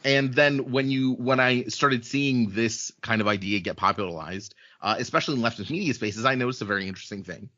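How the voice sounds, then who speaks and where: A man from Ukraine speaks as a podcast guest, blunt and heated, with plenty of swearing and filler words. His voice is bright and forceful at a middling pitch. The remote audio sounds dull and lo-fi. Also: fairly quick, few pauses. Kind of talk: casual podcast conversation.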